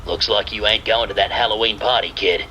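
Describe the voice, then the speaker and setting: Man playing a low, bright-edged wisecracking uncle. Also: fairly even intonation, fairly quick, a little hiss or hum. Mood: wry. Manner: folksy slangy talk. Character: gruff, wisecracking uncle handing out Halloween candy. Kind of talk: acted dialogue in a horror story.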